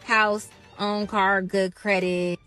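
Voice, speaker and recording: Chatty woman listing wants, soft music behind. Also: mid-range voice, flat delivery, takes it slowly. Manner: rambling list, filler "like". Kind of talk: casual vlog talk to camera.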